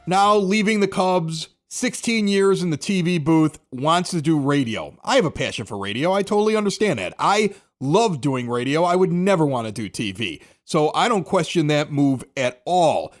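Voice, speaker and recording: A man's voice: a little high, lively intonation, moderate pace, hardly any pauses. An American man hosting a podcast, talking sports in a casual, chatty, conversational way. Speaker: podcast host, former morning radio host.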